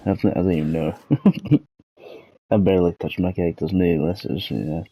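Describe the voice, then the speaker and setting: A Scottish man with a cold and a hoarse throat, rambling and swearing in a self-deprecating way through a casual gaming vlog. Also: deep voice, dark tone, rough recording. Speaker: gaming channel host.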